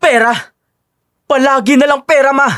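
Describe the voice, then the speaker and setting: A woman's voice: high voice, steady tone, normal speed, fairly bright tone, dry recording, studio quality. A woman voicing an earnest, guilt-ridden husband and son in a dramatized audio story, pleading in manner. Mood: worried, reproachful.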